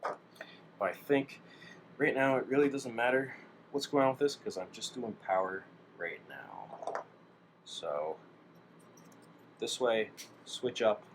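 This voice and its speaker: A man's voice: mid-range voice, takes it slowly, long pauses, a little hiss or hum. A man thinking aloud in a casual, hesitant way, half talking to himself as he narrates hands-on hobby work. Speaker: DIY electronics hobbyist narrating his work.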